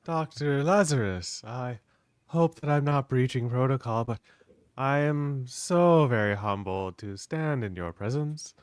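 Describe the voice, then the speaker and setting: A man playing an earnest, reverent young alien devotee, speaking formally and haltingly in a live script read. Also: mid-range voice, animated, clear, resonant tone, dry recording, clean studio sound, lightly acted.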